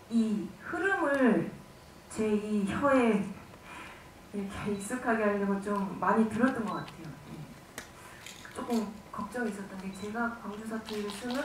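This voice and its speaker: A woman actress with a Gwangju accent answering at a press conference, hesitant with many fillers. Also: medium pitch. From Gwangju, South Korea. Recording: echoey hall, distant mic, background noise.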